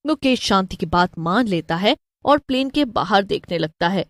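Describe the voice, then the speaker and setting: A woman's voice: medium pitch, expressive, moderate pace, no echo, clean studio sound. A woman narrator giving a scripted narration of a cartoon story.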